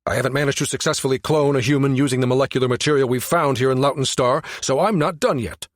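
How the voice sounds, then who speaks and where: A man's voice: mid-range voice, fast, no room sound, clean studio sound, voice pushed up, lightly acted. A man voicing an older male scientist in an audiobook, stern, indignant and easily rattled, with a blustering, demanding manner. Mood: angry.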